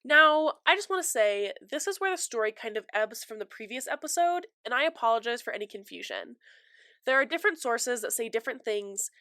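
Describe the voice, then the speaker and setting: A woman's voice: fairly high pitch, lively intonation, a little fast, sharp tone, no echo. A young adult woman hosting a true crime podcast, stuffy from a cold, chatty and apologetic with many fillers, on a close mic. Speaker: podcast host.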